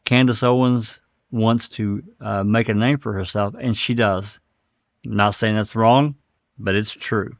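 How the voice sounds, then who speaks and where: A man hosts a casual talk stream in a low voice, thinking aloud and rambling from point to point. The audio is slightly dull, like a muffled online stream. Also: fairly even intonation, measured pace, vocal fry, no room sound. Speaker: live stream host. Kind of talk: casual commentary on case records.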